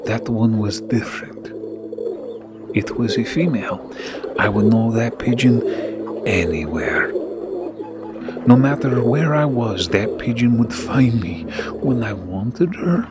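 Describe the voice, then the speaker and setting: An actor playing Nikola Tesla as an aging, lonely inventor, tender, reflective and wistful, in a radio drama monologue. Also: male voice, mid-range voice, lively intonation, very noisy. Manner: slow, reflective, reverent. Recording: music loud behind the voice.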